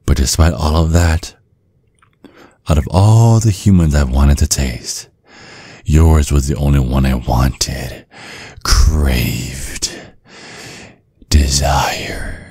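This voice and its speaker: A man playing a seductive, possessive vampire in an intimate ASMR roleplay, husky and breathy, with a teasing, menacing drawl that often sinks to a whisper. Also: very deep voice, slow, pauses often, warm tone, dry recording, studio quality, full character voice. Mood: possessive, gloating, then hushed, seductive. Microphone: close, dry microphone.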